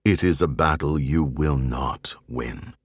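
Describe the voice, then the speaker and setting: Audiobook role: icy tyrant female machine mind, played by a man, low-pitched, slow, measured and steely. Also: dry recording, clean studio sound, full character voice. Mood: cold menace. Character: cold, commanding female artificial intelligence tyrant. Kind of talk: audiobook character voice.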